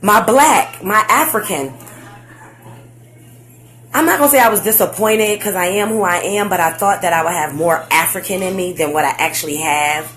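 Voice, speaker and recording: A young woman, chatting excitedly on a live stream, full of slang and "like"s and "um"s. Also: lowish voice, moderate pace. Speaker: vlogger. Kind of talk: casual facebook live chat.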